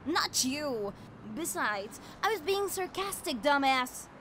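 Very high, feisty young superhero kid voiced by a woman. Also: sing-song, slow, heavy background noise, exaggerated voice. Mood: snappy. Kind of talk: comic dub voice acting.

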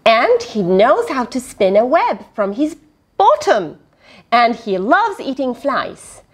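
An eager little boy from a children's storybook, voiced by a woman. He speaks earnestly and emphatically in a high, swinging voice, stressing his words slowly. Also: sing-song, slightly rough, full character voice. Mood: earnest, reassuring. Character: eager little boy who loves his spider friend. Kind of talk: children's storybook read-aloud.

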